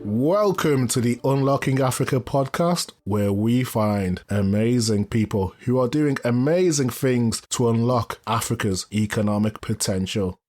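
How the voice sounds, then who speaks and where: A man's voice: medium pitch, measured pace, few pauses, a little mellow. A man hosting an interview podcast, asking long, polite and fairly formal questions. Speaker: podcast host.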